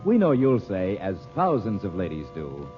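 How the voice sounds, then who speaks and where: A man's voice: deep voice, wildly swooping pitch, moderate pace. A man speaking as a formal, emphatic announcer and narrator in 1940s radio style. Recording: muffled old radio recording, music behind.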